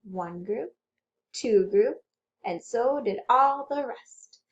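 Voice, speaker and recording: A woman hosting a children's storytime, reading aloud and chanting rhymes in an animated, exaggerated way for young children. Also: fairly high pitch, slow pace, lo-fi sound. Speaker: children's librarian storytime host.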